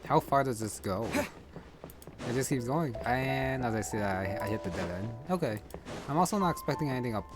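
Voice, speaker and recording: A man's voice: mid-range voice, animated, fairly quick, music in the background. Man rambling through casual game commentary. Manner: hesitant, rambling, thinking aloud. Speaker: gaming video creator.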